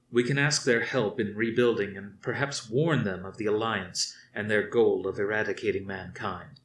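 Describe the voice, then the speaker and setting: A man reading aloud, voicing a stern older fleet commander who speaks slowly and firmly to his officers. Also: on the low side, a bit bright, roomy sound, far from the mic, slight background noise, natural voice. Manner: slow, measured, commanding.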